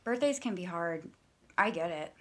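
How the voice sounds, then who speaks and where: A woman's voice: on the low side, animated, moderate pace, a bit bright, a little hiss or hum. A woman playing a guarded, sardonic massage therapist with clipped, deflecting replies in a naturalistic audio play. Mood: reserved, awkward. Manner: clipped deflections, sarcastic when riled.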